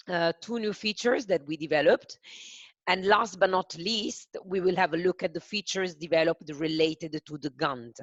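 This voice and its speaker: A woman with an Italian accent hosting a software demo webinar, speaking carefully and haltingly with frequent fillers. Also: lowish voice, no room sound, lo-fi sound. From Italy. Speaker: webinar host.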